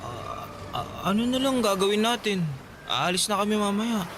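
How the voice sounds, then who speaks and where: A man's voice: sits high, very animated, moderate pace, background noise. A man playing an eager, earnest young carnival boy in love, in a romantic radio drama. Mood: pleading.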